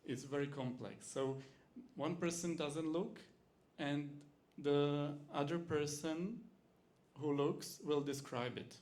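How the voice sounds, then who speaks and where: A man's voice: on the high side, steady tone, takes it slowly, darkish tone. A man from the Czech Republic with a Czech accent, a university lecturer giving a teaching demonstration in English, his second language, with frequent fillers and restarts.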